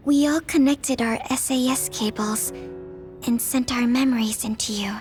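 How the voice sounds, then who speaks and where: A woman's voice: fairly high pitch, moderate pace, a little mellow, soft background music, natural voice. An actress playing a caring, anxious teenage girl in an anime game dub. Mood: concerned.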